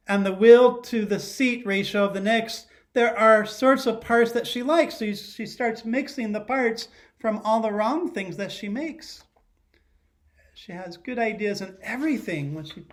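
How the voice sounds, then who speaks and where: Slow, high-pitched man reading to children. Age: middle-aged. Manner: expressive storyteller, pausing to ask listeners questions. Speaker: teacher. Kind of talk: read-aloud of a children's picture book. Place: living room at home.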